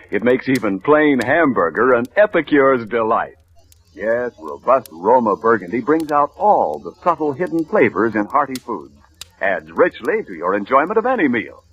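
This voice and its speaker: Lively salesman-voiced radio announcer. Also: medium pitch. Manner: smooth, salesmanlike. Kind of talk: 1940s radio sponsor announcement. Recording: dull old radio broadcast with music.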